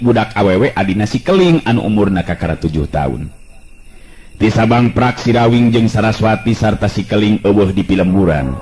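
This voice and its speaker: A man with a Sundanese accent tells a folk tale, his low voice calm and measured. Faint background hiss sits under the narration. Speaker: storyteller.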